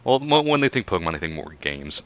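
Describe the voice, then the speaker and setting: A man's voice: low voice, sing-song, quick pace, lo-fi sound, over a noisy crowd. A man chatting casually as one of the co-hosts of a group commentary. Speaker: commentary podcast co-host.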